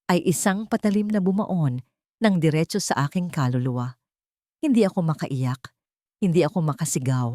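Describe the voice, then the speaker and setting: A woman with a Filipino accent reading a dramatic first-person story in an emotional, measured way with pauses. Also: lowish voice, darkish tone, dry recording, studio quality. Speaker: audiobook narrator.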